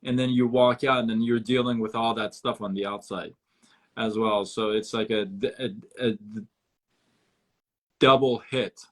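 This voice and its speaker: A man hosting a casual interview, talking in halting phrases with frequent stutters and repeated words. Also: lowish voice, fairly even intonation, fairly bright tone. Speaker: host.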